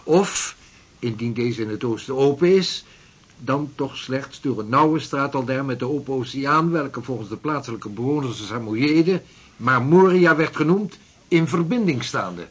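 Seasoned navigator, male, husky, mid pitch, factual and formal, period radio drama. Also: lively intonation, normal speed. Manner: formal, explanatory. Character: matter-of-fact seasoned navigator advising merchants.

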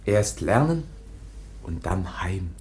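A man acting a timid, childlike foundling youth in a radio drama, speaking in halting broken phrases and naming himself in the third person. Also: deep voice, big pitch swings, darkish tone, exaggerated voice. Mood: dreamy, wistful.

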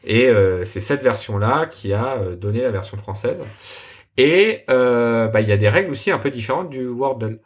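A young adult man, a software developer, explaining his project in a casual interview, hesitating often with many fillers and restarts. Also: mid-range voice, lively intonation, normal speed. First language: French.

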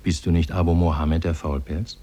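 An actor playing a benevolent demon in human form, speaking solemnly and formally in a 1950s German radio drama. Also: male voice, deep voice, fairly even intonation, normal speed, dark tone. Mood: solemn, reassuring. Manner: solemn, formal, archaic diction.

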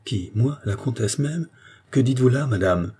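Audiobook role: honourable count, read by a male narrator, formal and emphatic, proud indignation, husky mellow tone. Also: medium pitch, lively intonation, normal speed, voice pushed up. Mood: astonished. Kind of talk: dramatic audiobook character acting.

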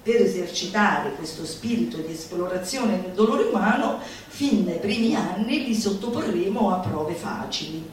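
A woman's voice: fairly low pitch, lively intonation, close to an everyday voice. Woman acting theatrical orator, manic comic monologue, exclamatory, very reverberant, faint noise. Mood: exalted, gleeful. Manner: declamatory, exclamatory, drawn-out emphatic words. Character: manic, theatrical female futurist orator exalting laughter at suffering. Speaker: stage actress. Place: roomy performance space, recorded from a distance. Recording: echoey hall, far mic, faint noise.

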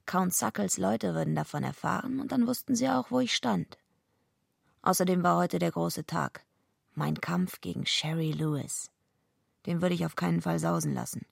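A tough, defiant street-hardened woman wrestler tells her noir story in a radio drama. Her voice is very creaky and husky, set fairly low, and her manner is blunt, bitter and sardonic. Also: no echo, clean studio sound, exaggerated voice. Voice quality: gravelly, husky. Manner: gruff, blunt, sardonic, swearing. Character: tough, foul-mouthed female wrestler living rough, bitter, defiant. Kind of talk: radio drama, first-person noir narration and dialogue.

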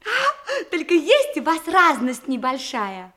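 An artless, giggly country maiden in a theatrical radio comedy. Her voice is high and lilts widely as she speaks. She draws out the verse slowly, coy and full of little exclamations. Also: huge pitch swings, sharp tone, exaggerated voice. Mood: confiding. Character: naive, coy, giggly provincial maiden, simple-hearted and chatty.

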